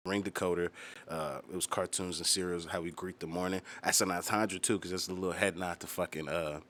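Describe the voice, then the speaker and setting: Deep-voiced young man, casual and sweary. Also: fairly even intonation, a little fast, fairly bright tone. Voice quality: low-pitched. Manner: informal, heavily profane, slangy, emphatic. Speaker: musician explaining own lyrics on own channel. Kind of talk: casual explanatory chat.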